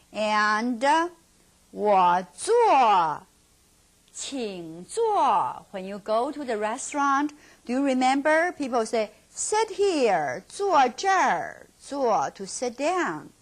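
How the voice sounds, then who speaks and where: A middle-aged woman with a Mandarin Chinese accent talks through a language lesson at a slow, careful pace. Her slightly high voice swings widely in pitch. She repeats each word and then answers her own questions. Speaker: Mandarin teacher. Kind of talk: slow teaching instruction with drills.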